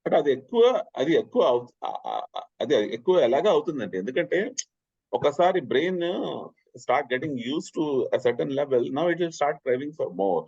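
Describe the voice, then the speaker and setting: Very fast, husky Telugu Indian man. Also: fairly high pitch, expressive, dark tone, dry recording. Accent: Indian, Telugu-speaking. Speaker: participant in a community discussion call. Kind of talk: casual explaining, mixing Telugu and English. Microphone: online community call. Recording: slightly dull, lo-fi call audio.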